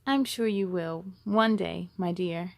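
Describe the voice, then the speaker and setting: A woman voicing a tender, patient mother in a storybook, gentle and reassuring. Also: fairly low pitch, slow, creaky, pitched below the performer's own voice.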